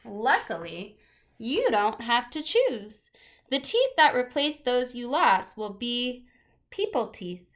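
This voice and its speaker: Higher-voiced woman teacher reading aloud. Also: sing-song, takes it slowly, a bit bright. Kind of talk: read-aloud of a children's nonfiction picture book with casual asides.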